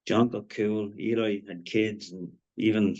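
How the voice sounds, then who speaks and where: A man's voice: fairly low pitch, fairly even intonation, unhurried, a little mellow, muffled recording. An actor playing a wry, shaken man given to gallows humour in a live screenplay reading. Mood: reflective. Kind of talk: live screenplay table read acting.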